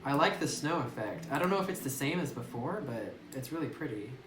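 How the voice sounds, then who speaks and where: A man's voice: mid-range voice, lively intonation, a little fast. Man casually musing through a game. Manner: casual, musing, hesitant restarts. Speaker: game streamer. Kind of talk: let's play commentary. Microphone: phone mic away from speaker. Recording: roomy distant phone recording with music.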